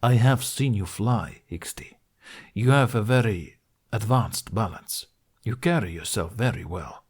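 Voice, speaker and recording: A man voicing a stern, assured horseman mentor in an audiobook, giving deliberate, clipped instructions in formal phrasing. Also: fairly low pitch, a little mellow, slightly rough. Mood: patient, assured. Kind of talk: audiobook character acting.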